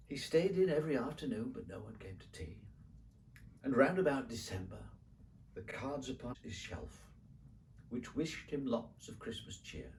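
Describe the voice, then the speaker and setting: A man recites narrative verse for children in a theatrical, rhythmic way. His pitch rises and falls widely. He sounds slightly away from the microphone, with faint noise behind him. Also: recorded from a distance, rough recording. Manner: expressive, rhythmic, theatrical phrasing. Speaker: poetry reader.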